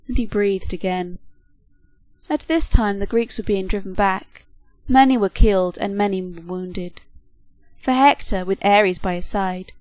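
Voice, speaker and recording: A woman narrating a storybook tale in a steady, clear reading. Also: medium pitch, no echo, low-quality recording. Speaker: reader.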